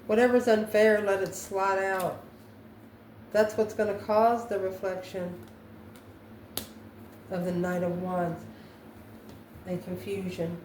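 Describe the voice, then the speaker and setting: A woman's voice: medium pitch, level tone, takes it slowly, long pauses, darkish tone. A woman giving an improvised tarot reading, musing aloud and often asking questions that she then answers herself. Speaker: tarot reader. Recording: roomy echo, faint background noise.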